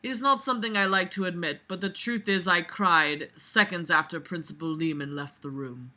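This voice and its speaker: Bright, forceful woman, sarcastic and blunt. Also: fairly low pitch, moderate pace. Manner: sarcastic, blunt, exasperated, swears freely. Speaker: host reading a book aloud and critiquing it. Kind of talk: casual commentary mixed with mocking read-aloud.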